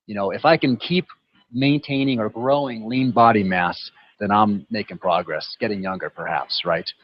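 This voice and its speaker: A man's voice: on the low side, moderate pace, no room sound. A man in his fifties answering a panel question casually, often adding "you know" and "right". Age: 50s. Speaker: athlete guest. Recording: dull dry audio.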